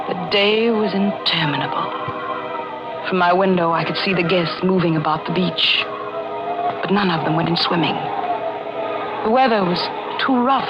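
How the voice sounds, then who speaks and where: A woman's voice: fairly low pitch, natural voice. An actress playing a guilty, guarded woman novelist in a 1940s radio thriller, with a dramatic delivery. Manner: dramatic, tense confessional delivery. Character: guilty, guarded woman mystery novelist narrating her crime. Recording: muffled old radio recording, loud music.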